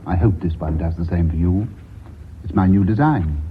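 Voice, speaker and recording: A man's voice: deep voice, normal speed, natural voice. A man acting in a radio drama as a sentimental, whimsical clothmaker. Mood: kindly. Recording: muffled distant radio recording, faint hiss.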